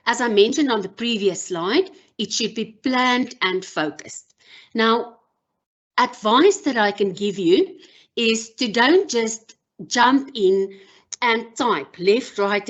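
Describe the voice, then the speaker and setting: Woman lecturing over dull call audio. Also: mid-range voice. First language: Afrikaans. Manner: measured, with frequent um fillers. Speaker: university lecturer. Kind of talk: online workshop presentation. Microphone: video call.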